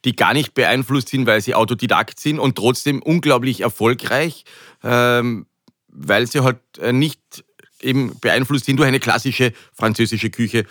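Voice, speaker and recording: A man's voice: mid-range voice, measured pace, fairly bright tone, dry recording, clean studio sound. A middle-aged man with an Austrian accent talking conversationally as an interview guest, often hesitating and repeating words as he searches for phrasing. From Carinthia, Austria.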